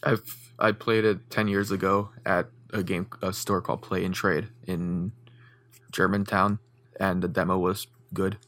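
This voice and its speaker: A man's voice: low voice, little pitch movement, a little slow, a bit bright, no echo. A young man co-hosting a casual podcast, speaking matter-of-factly and often repeating his words as he starts. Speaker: podcast co-host.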